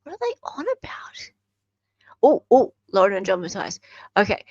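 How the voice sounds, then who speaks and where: A woman's voice: mid-range voice, sing-song, moderate pace, gravelly, husky, dry recording. An Australian woman hosting a casual livestream, doing dramatic readings and commentary in a sarcastic, bantering way. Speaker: livestream host. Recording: dull lo-fi audio. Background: music.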